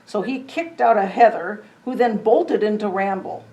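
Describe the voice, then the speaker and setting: A woman reads a picture book aloud for children. Her voice is medium in pitch, and she tells the story expressively, with a dramatic touch. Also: moderate pace, dark tone, low-quality recording. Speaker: storybook reader. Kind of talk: children's story read-aloud with chatty asides.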